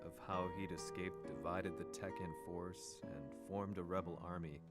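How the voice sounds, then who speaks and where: Male actor as vengeful journalist, deep voice, solemn measured narration, game cutscene, loud guitar backing. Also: fairly even intonation, natural voice. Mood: solemn, bitter. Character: brooding, vengeful male journalist recounting his story. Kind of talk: scripted dramatic first-person narration. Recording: loud guitar music behind.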